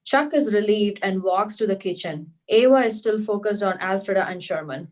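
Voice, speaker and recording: A woman's voice: mid-range voice, lively intonation, normal speed. A woman narrating stage directions at a script table read.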